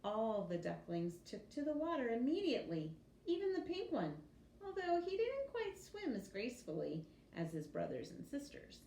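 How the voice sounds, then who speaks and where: Female storybook reader, expressive teacher style for young kids; echoey room, noisy recording. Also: on the high side, moderate pace. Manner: animated storytelling with acted character voices. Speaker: teacher reading a picture book to young pupils. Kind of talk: expressive children's storybook read-aloud. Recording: echoey room with clear background noise.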